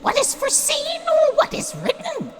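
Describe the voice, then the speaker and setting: A woman's voice: very high voice, normal speed, slight background noise. A woman playing an imperious, indignant Fate of myth in an audio drama, theatrical and haughty. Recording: music under the voice.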